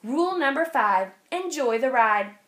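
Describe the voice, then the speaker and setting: A woman teacher reading a children's storybook aloud, expressively and with clear phrasing for young listeners. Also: sits high, animated, unhurried, fairly bright tone. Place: indoors, over an open picture book.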